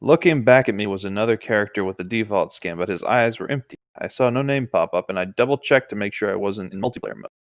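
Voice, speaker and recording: A man's voice: fairly low pitch, fast, few pauses, dry recording. A man co-hosting a horror story show, reading aloud and chatting in an informal, conversational way. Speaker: co-host reading internet horror stories. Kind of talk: casual banter and story reading.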